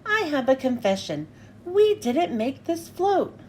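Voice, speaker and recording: A woman voicing an earnest, excitable young male sponge character. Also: sits high, takes it slowly, slight background noise, exaggerated voice. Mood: confessing.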